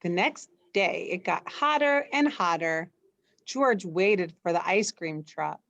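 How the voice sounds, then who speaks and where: A woman reading a children's bedtime story aloud, putting expressive emphasis into the dialogue. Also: fairly low pitch, slow pace, gravelly, dry recording, lo-fi sound.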